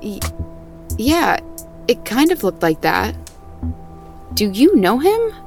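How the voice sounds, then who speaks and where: A woman's voice: fairly high pitch, very animated, takes it slowly, creaky, soft background music, exaggerated voice. An actress playing a wary, practical woman out camping in a naturalistic audio drama, matter-of-fact and insistent. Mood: wary, hushed.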